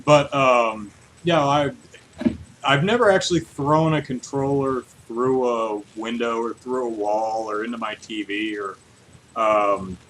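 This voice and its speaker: Animated man podcasting, noisy background. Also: mid-range voice, a little slow. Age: 30s. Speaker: podcast host. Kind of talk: casual chat. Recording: clear steady background noise.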